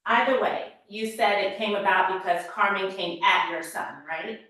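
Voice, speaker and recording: A woman prosecutor cross-examines a witness, pressing him with pointed, leading questions. Her voice sits at a middling pitch. It rings with heavy echo from the courtroom around her. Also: steady tone, normal speed, pushed and bright. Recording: very echoey courtroom audio.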